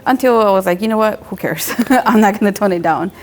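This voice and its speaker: A young adult woman from Mexico, a tattoo artist, talking casually in a relaxed, rambling way with lots of filler words. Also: medium pitch, quick pace, soft background music. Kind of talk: casual interview monologue.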